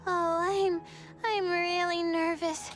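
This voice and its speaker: A woman's voice: very high voice, flat delivery, slow pace, fairly bright tone, soft background music, heavily acted. A woman voicing a nervous, meek young student in an anime-style video game, speaking hesitantly. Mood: anxious.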